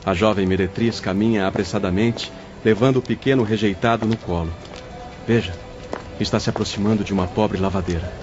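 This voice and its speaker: A man's voice: lowish voice, steady tone, a little fast, natural voice. A man playing a wise spirit mentor in an audio drama, solemn, formal and didactic. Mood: guiding. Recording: dull lo-fi audio, faint music.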